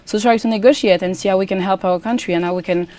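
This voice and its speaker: A woman's voice: mid-range voice, darkish tone. A young woman from Congo with a French accent, a pageant contestant answering interview questions earnestly, often restarting her sentences. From Democratic Republic of Congo. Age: young adult. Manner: earnest, halting with many restarts. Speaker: pageant contestant, student guest. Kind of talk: casual interview talk.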